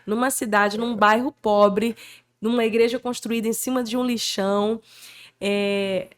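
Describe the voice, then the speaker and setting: Brazilian woman host, slightly high voice, chatty and animated. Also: unhurried, clear, resonant tone. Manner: lively, animated storytelling. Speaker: podcast host. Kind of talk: casual interview chat.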